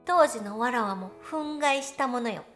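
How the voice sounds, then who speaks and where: Girl doing a high-pitched ancient cat goddess, haughty n old-timey talk, grumbly but fond, telling a story over music. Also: animated, moderate pace, a bit bright. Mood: indignant. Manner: haughty, archaic old-fashioned lordly speech. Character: proud thousand-year-old female cat god, grumbling but fond. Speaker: virtual streamer in character. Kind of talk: dramatic first-person storytelling monologue.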